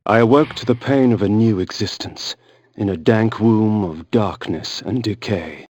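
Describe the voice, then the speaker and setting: A man's voice: lowish voice, measured pace, darkish tone, a little hiss or hum, natural voice. An actor playing a brooding, vengeful vampire nobleman, narrating a game in a theatrical, grave and ornate manner. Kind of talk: dramatic scripted game narration.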